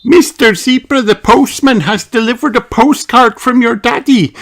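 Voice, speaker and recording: A storybook reader voicing an excited mother dog awaiting her husband. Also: mid-range voice, normal speed, voice pushed up, natural voice. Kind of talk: storybook read-aloud.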